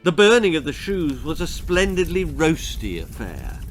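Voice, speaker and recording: A man reading a children's horror-comedy story as its author, in a theatrical, relishing, deliberate manner. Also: fairly high pitch, sing-song, faint music behind, poor audio. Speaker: author reading own stories aloud.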